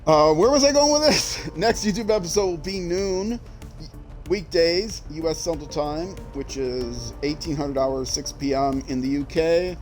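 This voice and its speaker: A man's voice: on the high side, measured pace, darkish tone, soft background music. An older man from Minnesota with a Minnesota accent, rambling and self-deprecating as he streams a driving game. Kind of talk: casual gameplay commentary.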